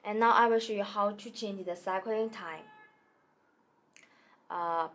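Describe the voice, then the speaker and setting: A woman demonstrating a product in a small indoor studio, talking haltingly with many fillers and restarts. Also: mid-range voice, a bit bright. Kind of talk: hesitant product tutorial.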